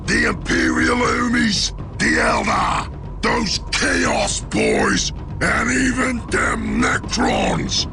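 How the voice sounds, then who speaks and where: An actor voicing brutish, boastful, war-hungry orks in a game, with a growling, gravelly voice. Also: male voice, very high voice, wildly swooping pitch, extremely slow, heavily acted. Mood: gloating. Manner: shouted, swaggering, broken grammar. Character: brutish, boastful, war-hungry ork war boss and his crony. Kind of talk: game cutscene voice acting. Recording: music behind the voice. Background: background music.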